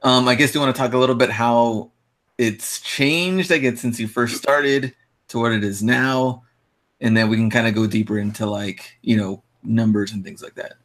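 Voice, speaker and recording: A man's voice: medium pitch, fairly even intonation, normal speed, fairly bright tone. Laid-back joking podcast co-host man. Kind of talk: casual interview talk.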